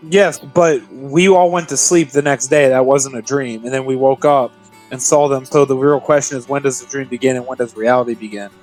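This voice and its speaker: A man's voice: medium pitch, steady tone, fairly quick, a little mellow, soft background music, poor audio. A man playing a tabletop roleplaying game, talking casually and recapping events. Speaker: player.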